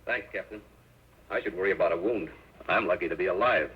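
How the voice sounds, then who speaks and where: An actor playing a gruff, grim wounded frontier scout with a husky, terse delivery in an old-time western radio drama. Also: male voice, deep voice, fast. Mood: weary, grim. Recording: tinny, muffled old radio recording.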